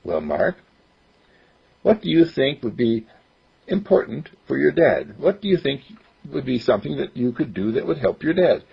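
A man voicing a kindly magical talking candle who asks gentle, patient questions in a storybook tale. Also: mid-range voice, sing-song, normal speed, creaky, slightly rough, highs cut off, voice pushed up, exaggerated voice.

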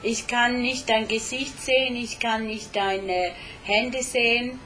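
Woman, interpreter, slow careful delivery, frequent hesitations, faint music behind. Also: on the high side, fairly even intonation, sharp tone, low-quality recording. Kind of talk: live interpreting between English and German.

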